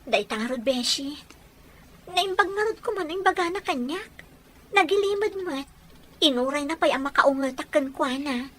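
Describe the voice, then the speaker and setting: A woman's voice: sits high, expressive, moderate pace, pauses often, fairly bright tone. A woman playing an earnest, persistent young girlfriend in an audio drama, insistent in how she speaks. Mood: gentle, troubled. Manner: insistent, probing.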